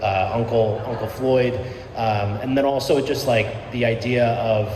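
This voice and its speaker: A man's voice: medium pitch, steady tone, measured pace. A man hosting a radio interview, asking loose, rambling questions and affirming often. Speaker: radio host. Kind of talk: casual interview. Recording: echoey room with noise behind.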